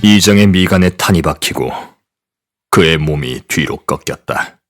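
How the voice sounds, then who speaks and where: An actor playing a grim, determined paranormal agent, narrating gravely and deliberately in an audio drama. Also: male voice, extremely low pitch, a bit bright, soft background music. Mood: grave, tense. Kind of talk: dramatic first-person scripted narration.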